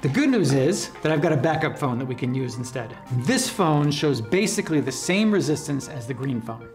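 A man in his forties presenting as a host to camera, explaining in a measured, scripted way, recorded on a lapel mic. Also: fairly high pitch, animated, soft background music. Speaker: host of an electronics build channel. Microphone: lapel microphone.

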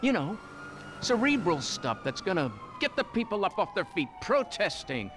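A man's voice: high-pitched, very animated, normal speed. A man acting in a video game cutscene as a zealous, rambling stoner activist who campaigns for legalization in an earnest, grandiose way. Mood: fervent, persuasive. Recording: game scene with street noise.

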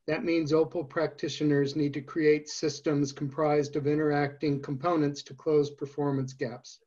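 A man hosting a webinar, reading out an introduction in a measured way. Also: a little high, level tone, darkish tone. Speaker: webinar host. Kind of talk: read introduction. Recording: muffled video call audio.

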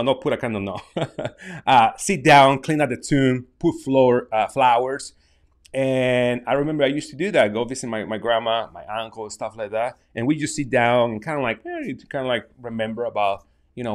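A man in his thirties from Mexico with a Mexican Spanish accent, talking casually to camera in a small home studio, halting with many restarts. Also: mid-range voice. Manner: halting, many restarts and fillers, gestures with hands. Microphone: large studio mic on desk.

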